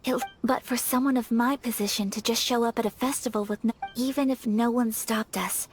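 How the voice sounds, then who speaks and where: A woman playing a gentle, earnest young noblewoman in a video game, speaking politely and formally in a soft, measured way. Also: fairly high pitch, fairly even intonation, soft background music, natural voice. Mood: reflective, wistful. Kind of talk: English game voice acting.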